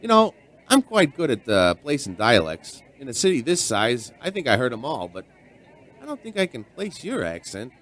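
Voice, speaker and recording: An actor playing a chatty, folksy bar owner, rambling conversationally through a radio drama monologue. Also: male voice, medium pitch, wildly swooping pitch, normal speed, a bit bright. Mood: reflective, curious.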